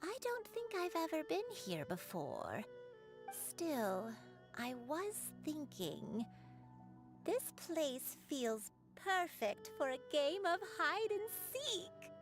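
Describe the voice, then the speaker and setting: A kind, forgetful grandmother in a video game, musing slowly over her words. Her voice sits fairly high and moves in wide, lilting swings. Faint game music drifts behind her. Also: sing-song, exaggerated voice. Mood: gentle. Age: older. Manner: slow, musing and hesitant.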